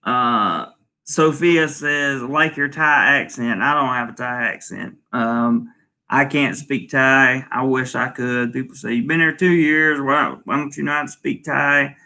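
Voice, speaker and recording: Bright-voiced man rambling through live chat. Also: mid-range voice, a little slow. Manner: rambling, lots of ums and restarts. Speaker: channel host. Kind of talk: casual livestream, reading viewer comments. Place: home in Thailand.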